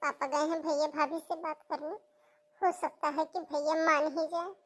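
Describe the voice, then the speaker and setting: A woman's voice: high-pitched, fairly even intonation, moderate pace, lightly acted. A woman voicing a pleading, plaintive young daughter in a cartoon family melodrama. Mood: worried. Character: pleading, tearful young daughter who wants to study.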